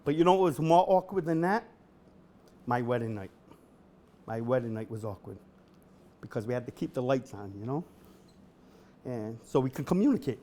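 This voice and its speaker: A middle-aged man doing a stand-up comedy set at an event, with a deadpan delivery that bursts into shouted singing. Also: medium pitch, moderate pace, pauses often, slight background noise. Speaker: comedian host. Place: event hall with a live audience.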